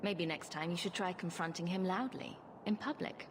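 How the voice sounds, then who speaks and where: A woman voices a dry-witted, assured female adventurer in a fantasy game. Her pitch is a little low. Clear ambient noise runs behind her. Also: normal speed, lightly acted. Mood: wry. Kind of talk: video game cutscene acting.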